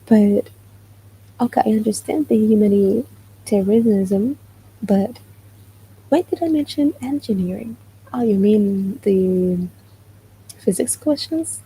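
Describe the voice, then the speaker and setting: Slow, gravelly-voiced Palestinian woman. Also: mid-range voice, dark tone, low-quality recording. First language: Arabic. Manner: explanatory, often asks "you know what I mean?". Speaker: livestreamer. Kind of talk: casual live stream answering chat.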